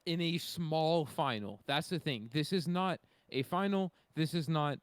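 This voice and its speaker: A man co-hosting a podcast in casual chat, hesitant and thinking out loud as he talks. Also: on the high side, unhurried, smooth, no room sound. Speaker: podcast co-host.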